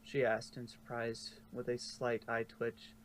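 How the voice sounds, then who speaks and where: A man's voice: mid-range voice, completely flat delivery, measured pace. A man reading a story aloud in an informal way, stumbling and correcting himself now and then. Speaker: fanfiction narrator. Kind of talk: storybook narration read aloud. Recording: noisy home recording.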